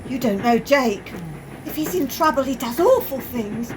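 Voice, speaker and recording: A woman's voice: animated, measured pace. A woman playing a frightened, defensive working-class train passenger in a radio play, speaking bluntly and colloquially. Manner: colloquial, non-standard grammar, blunt. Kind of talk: amateur radio play acting. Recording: noisy, train carriage rumble behind.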